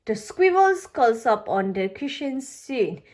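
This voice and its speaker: A woman narrates a children's picture book in a fairly high voice with lively swings of pitch. She takes her time, reading deliberately and expressively for little ones. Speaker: storybook reader. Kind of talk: children's read-aloud narration.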